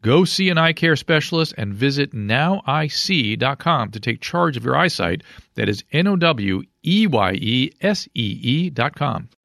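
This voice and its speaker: A man's voice: medium pitch, animated, measured pace, vocal fry, fairly bright tone, no room sound, clean studio sound. An American man reading a scripted health advertisement as an announcer. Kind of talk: scripted ad narration.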